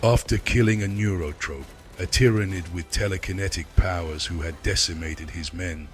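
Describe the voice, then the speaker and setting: Deep-voiced man narrating over music. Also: moderate pace. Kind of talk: scripted story narration. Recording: voice-over on cinematic music bed.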